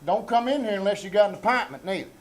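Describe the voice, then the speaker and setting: Male actor as self-important Southern backwoods boss, high-pitched and folksy, old-time radio comedy with some background noise. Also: expressive, quick pace. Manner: pompous, rustic phrasing. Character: bossy, self-important folksy country storekeeper turned would-be executive. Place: small-town theater stage before live audience. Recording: live theater stage with background noise.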